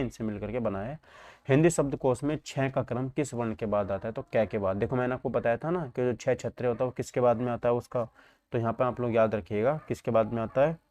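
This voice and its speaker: A man's voice: medium pitch, a little mellow, no echo. A man teaching an exam lesson, posing questions and answering them himself, often checking that students follow.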